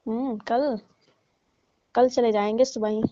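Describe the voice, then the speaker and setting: A woman's voice: a little high, steady tone, slow pace, dark tone, dry recording. A woman playing a flirtatious middle-aged auntie, coaxing and teasing in a casual phone conversation. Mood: affectionate. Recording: muffled lo-fi phone call recording.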